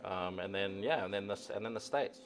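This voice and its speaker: A man's voice: low voice, brisk, faint music behind. A man with a South African accent chatting casually as an interview guest, playful and rambling, often repeating words. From South Africa and Zimbabwe. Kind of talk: casual chat.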